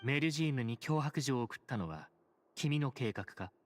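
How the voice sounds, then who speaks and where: An actor playing a stern, composed chief judge in a Japanese game dub, speaking with formal authority. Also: male voice, mid-range voice, animated, fast talker, soft background music, natural voice. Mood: stern, calm. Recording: game audio with faint background noise.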